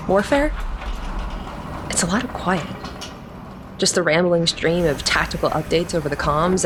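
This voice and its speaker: An actress playing a casual, wry crew member of a space fleet, talking reflectively in a sci-fi audio drama. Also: female voice, low-pitched.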